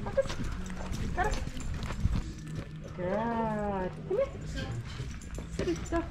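A woman's voice: fairly high pitch, huge pitch swings, extremely slow. A woman, a service dog handler, chatting casually and praising her dog with cheery coaxing while walking through a mall. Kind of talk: casual vlog chat while training a dog. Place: indoor shopping mall and stores. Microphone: action camera worn low on a dog. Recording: loud mall background noise.

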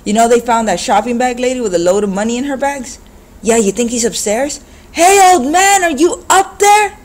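A reader voicing rough, threatening men who talk in a slurred street manner. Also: mid-range voice, fairly quick, a little mellow, voice pushed up, close to an everyday voice. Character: rough menacing men robbing an old man.

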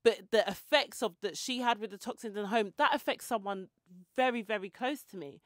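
A woman's voice: medium pitch, fairly quick, no room sound, studio quality. A woman in her forties hosting a podcast, chatting casually into a handheld mic in a small room and rambling into tangents and self-mocking asides. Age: 40s. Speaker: podcast host. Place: small indoor room with shelves and clothes rails. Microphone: handheld mic held close.

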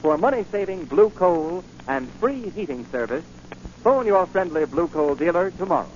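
A man's voice: high-pitched, sing-song, moderate pace. An American man announcing on 1940s radio in a formal, emphatic scripted style. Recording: dull 1940s radio recording, faint noise.

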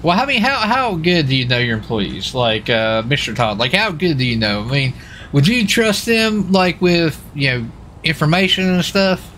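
A man playing a police marshal in an online roleplay game, asking casual questions in a halting, rambling, informal way. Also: a little high, very animated, fairly bright tone, a little hiss or hum.

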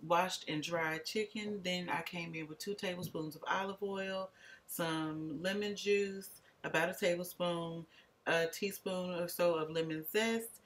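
A woman's voice: on the low side, fairly bright tone. Chatty, wry woman cooking vlogger. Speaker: vlog host. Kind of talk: casual cooking vlog voice-over.

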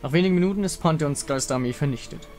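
A man's voice: a little high, very fast, darkish tone. Male narrator telling a fantasy battle over music. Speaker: narrator reading visual novel dialogue. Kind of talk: dramatic scripted narration.

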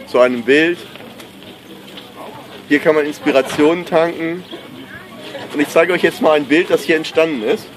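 A middle-aged man vlogging outdoors at a sunny marina, chatting casually and enthusiastically to the camera. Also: on the high side. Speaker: pop artist vlogging. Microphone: handheld camera mic. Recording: faint outdoor noise.

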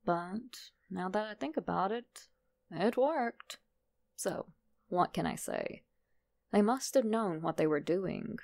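A woman narrating a horror story in a measured, deliberate, dramatic way. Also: mid-range voice, animated, vocal fry, darkish tone.

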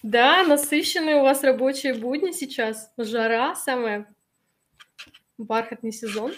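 A woman in her thirties, a little hoarse from allergies, hosting a live webinar on a video call and reading out and answering viewers' chat messages in a friendly way. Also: on the high side, a little slow, slight background noise. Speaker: webinar host. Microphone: webcam on a video call.

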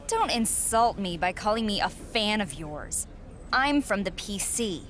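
A woman voicing a determined young female rookie wrestler in a video game, answering calmly and confidently with dry replies. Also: mid-range voice, a little slow. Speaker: player character.

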